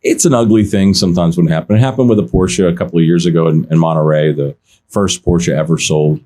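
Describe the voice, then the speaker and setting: A man who works in car auctions, telling stories in a relaxed podcast chat, often restarting his sentences and quoting other people. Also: low voice, fast. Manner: animated anecdotes, many restarts, quotes others. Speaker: auction insider guest. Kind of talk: casual podcast storytelling.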